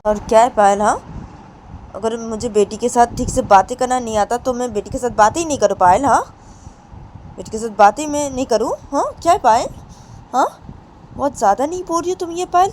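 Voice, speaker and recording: A woman's voice: fairly high pitch, a little fast, a little mellow, voice pushed up, close to an everyday voice. A woman playing a scolding father, noisy audio. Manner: scolding, repeated questions. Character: angry father scolding his wife. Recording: noisy recording.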